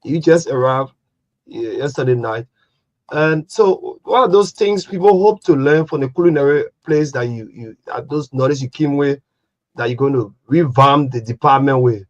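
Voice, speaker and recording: A man's voice: mid-range voice, normal speed. A man hosting a casual interview, often stammering into his questions and answering with "wow". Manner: stammering restarts, frequent "wow". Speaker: talk show host. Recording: dull lo-fi sound.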